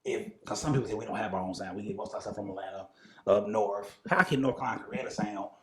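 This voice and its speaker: A man's voice: fairly low pitch, fast talker. A man interviewing someone in a casual, informal and agreeable way. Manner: informal, agreeable, frequent affirmations. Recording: roomy echo on the voice.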